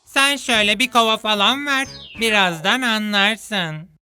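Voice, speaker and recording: A woman's voice: medium pitch, measured pace, bright, forceful tone. A woman voicing a confident, resourceful young sea creature in a children's cartoon, assured and matter-of-fact. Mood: scheming, confident. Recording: music bed under the voice.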